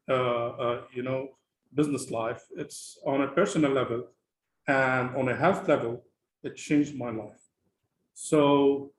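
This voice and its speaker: A man's voice: a little high, reverberant, a little hiss or hum, rough recording. A man from Lebanon moderating an online panel discussion, talking casually with many fillers and restarts over a video call.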